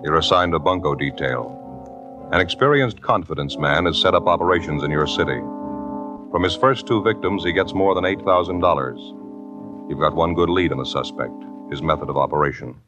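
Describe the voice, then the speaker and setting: A man's voice: deep voice, fairly quick, highs cut off. A man with an American accent, announcing in a dramatic, emphatic 1950s radio style. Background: music.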